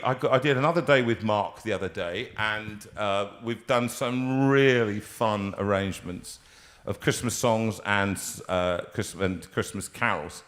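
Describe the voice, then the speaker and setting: A man's voice: on the low side, a bit bright. A man, a singer, chatting casually on a live stream from a music room, rambling with many fillers and restarts.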